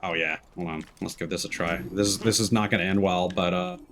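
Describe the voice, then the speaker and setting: Low-pitched man casually commentating a game. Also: a little fast. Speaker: game streamer. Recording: noisy game audio behind voice. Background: video game sounds.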